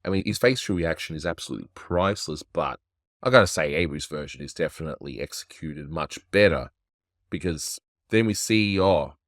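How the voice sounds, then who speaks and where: A man hosting a casual cartoon review, talking in a relaxed, offhand way and chuckling often. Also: low voice, no room sound, studio quality. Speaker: animation reviewer, host.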